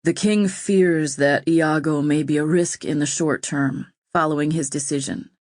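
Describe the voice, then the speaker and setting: A woman reading an audiobook, voicing a troubled, gentle nobleman who speaks softly and ruefully. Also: sits low, fairly even intonation, no room sound, studio quality. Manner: soft, measured, rueful.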